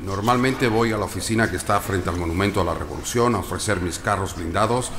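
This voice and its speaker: A man's voice: sits low, fast talker, lightly acted. An actor playing an evasive businessman on the witness stand, giving curt, stonewalling answers in an audio drama. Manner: curt, flat denials. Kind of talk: dramatized court testimony. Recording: echoey noisy courtroom ambience.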